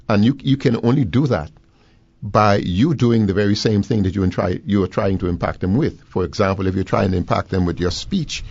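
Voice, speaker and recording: A man from the Bahamas, a guest on education, speaking in a deliberate, formal, lecturing way. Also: lowish voice, vocal fry, a little mellow, no room sound, muffled recording. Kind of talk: measured talk radio discussion.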